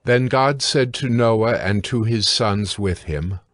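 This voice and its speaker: A man narrates scripture in a fairly low voice. His delivery is very unhurried, measured and sober. The sound is dry and close, free of room echo. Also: a bit bright.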